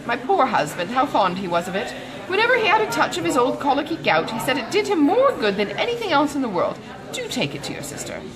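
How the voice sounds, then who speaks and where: A woman's voice: sits high, huge pitch swings, fast, music in the background, crowd noise, pitched above the performer's own voice, poor audio. Woman voicing a gushing, kindly older widow. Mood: effusive. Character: kindly, chatty older widow, effusive and confiding. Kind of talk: audiobook character dialogue.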